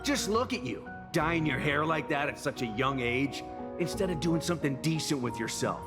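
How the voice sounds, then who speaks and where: A man's voice: on the high side, lively intonation, normal speed, close to an everyday voice. A man voicing a scornful game protester. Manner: smooth politeness turning scornful and threatening. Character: rude adult male local protester, hostile. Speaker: game character. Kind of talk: game voice acting. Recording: reverberant game audio with music.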